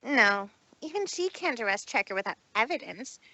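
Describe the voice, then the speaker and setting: A woman's voice: a little high, expressive, moderate pace, bright, forceful tone, no echo, muffled recording. Woman acting a proud, indignant showmare pony. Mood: resolute. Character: proud female showmare pony, indignant, speaks in third person. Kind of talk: fan fiction read-aloud.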